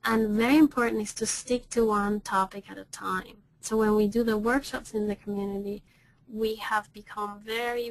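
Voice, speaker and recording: A woman's voice: medium pitch, creaky, rough recording. A woman clinical educator presenting a webinar in a conversational way, with frequent ums and self-corrections.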